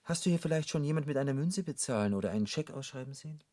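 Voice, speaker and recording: An actor playing an urbane, ironic devil in a literary radio drama, with a husky voice and a didactic, rhetorical manner. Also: male voice, mid-range voice, lively intonation, racing, mellow, no room sound, clean studio sound, lightly acted. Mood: mocking, rhetorical.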